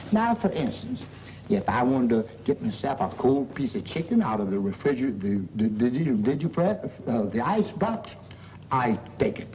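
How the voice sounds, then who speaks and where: A man's voice: mid-range voice, sing-song, normal speed, natural voice. Man playing a blustering, folksy boarder, wildly swinging delivery. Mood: boastful, cocky. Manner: folksy, ungrammatical, blustering bragging. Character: boastful, wheedling boarding-house man, middle-aged, small-time schemer. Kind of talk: 1940s film comedy acting. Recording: muffled, noisy old film soundtrack.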